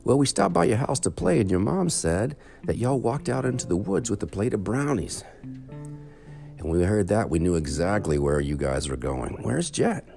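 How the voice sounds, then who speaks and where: A man voicing an eager, friendly young girl in a lively raised voice. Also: fairly low pitch, normal speed, gravelly, pitched above the performer's own voice. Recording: guitar music behind.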